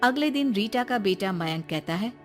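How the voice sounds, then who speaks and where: A woman narrating a cartoon story in an even, storybook tone. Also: mid-range voice, normal speed, faint music behind.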